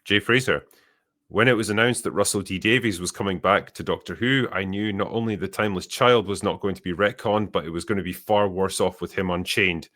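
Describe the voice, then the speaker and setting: A man's voice: low-pitched, a little fast, fairly bright tone. A man hosting a podcast, reading out viewer messages and chatting casually, with some offhand swearing. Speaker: podcast host.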